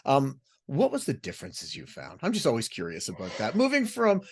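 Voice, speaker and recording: A man's voice: medium pitch, huge pitch swings, fairly quick. A man hosting a radio interview show, introducing and questioning his guest.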